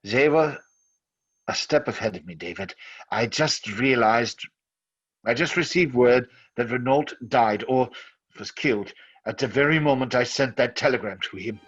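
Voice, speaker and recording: Grave occult scholar for vintage radio horror: husky, fretful, deliberate, on a dull lo-fi recording. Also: male voice, medium pitch, lively intonation, fairly bright tone. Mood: grave, troubled. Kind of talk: old-time radio drama acting. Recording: muffled old radio recording.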